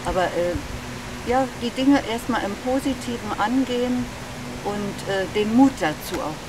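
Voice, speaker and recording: A woman's voice: fairly high pitch, expressive, normal speed. An older woman on a park bench, reciting a poem and then answering interview questions hesitantly, with fillers. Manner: hesitant with fillers when speaking freely. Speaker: club member, interviewee. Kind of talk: poetry recitation and casual interview. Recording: outdoor recording with loud background noise.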